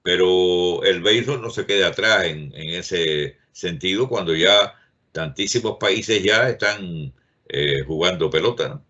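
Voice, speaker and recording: Male host, very low pitch, sharp bright tone, dull lo-fi sound, halting chat. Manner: affirming, filler-heavy, hesitant. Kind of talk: casual chat. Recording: dull lo-fi recording.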